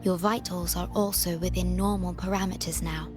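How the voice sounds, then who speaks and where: A woman voicing a calm, clinical medical researcher in a video game, speaking in measured, formal and precise phrases. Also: fairly low pitch, music in the background, natural voice. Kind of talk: scripted video game dialogue.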